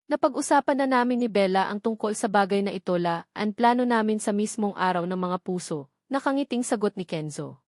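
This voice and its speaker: A woman's voice: mid-range voice, darkish tone, no room sound, clean studio sound. A woman with a Filipino accent narrating a first-person romance novel as a scripted story. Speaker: audiobook narrator.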